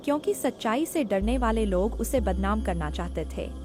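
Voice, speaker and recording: A woman voicing an anxious, sorrowful mother, grave and emotional, in an animated horror story. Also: medium pitch, normal speed, natural voice. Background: music.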